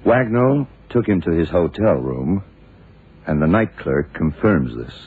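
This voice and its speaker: An actor playing a blind detective in a 1970s radio drama, a gruff, commanding mentor who explains things deliberately with authority. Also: male voice, deep voice, lively intonation, heavily acted. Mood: explaining, deliberate. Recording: tinny, narrowband old radio recording.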